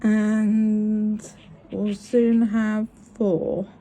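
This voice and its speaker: Slow, soft-spoken woman with a cold. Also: medium pitch, flat delivery, slight background noise, low-quality recording. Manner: chatty, apologetic, talks through each step. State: sniffly with a cold. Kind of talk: crafting tutorial.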